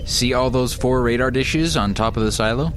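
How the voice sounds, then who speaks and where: A man's voice: medium pitch, normal speed, slight background noise, natural voice. A man playing a sensible, know-it-all son who explains things drily in a family comedy radio play. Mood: explaining.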